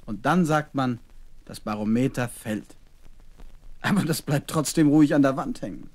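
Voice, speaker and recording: A man's voice: medium pitch, moderate pace, darkish tone, natural voice. A man playing a kindly doctor and father in a seventies children's audio drama. He speaks to his little girl with patience and playful courtesy, in careful acted phrases. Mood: patient, amused. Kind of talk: 1970s children's radio play acting.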